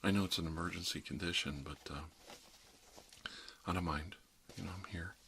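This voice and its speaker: A man playing a kindly older doctor in an ASMR role-play, speaking softly in an unhurried, hesitant, reassuring murmur. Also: deep voice, steady tone, vocal fry, a little hiss or hum, heavily acted. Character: kindly older male doctor doing emergency dental work.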